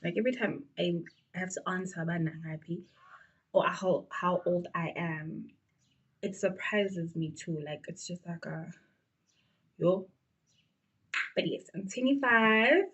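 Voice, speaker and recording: A woman's voice: fairly low pitch, a little slow, long pauses, a bit bright, breathy edge, muffled recording. A woman in her twenties with a South African accent, chatting casually to her viewers with plenty of fillers. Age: 20s. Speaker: beauty vlogger. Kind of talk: casual get-to-know-me chat.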